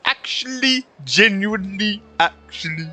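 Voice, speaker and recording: High-pitched, very bright male game streamer. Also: expressive, unhurried. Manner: slangy, sweary, reactive. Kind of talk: casual live gameplay commentary.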